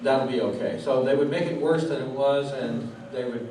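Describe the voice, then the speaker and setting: A man's voice: medium pitch, moderate pace, dark tone. A man hosting an interview, explaining things informally to a live audience with a wry, joking manner. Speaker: interviewer, host. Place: public audience question session in a room. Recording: very echoey room, distant mic, clear noise.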